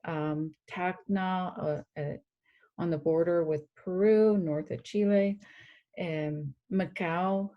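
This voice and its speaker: A woman giving an informal expert briefing on a panel, halting as she searches for words. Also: on the low side, fairly even intonation, lo-fi sound. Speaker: regional security expert on a panel.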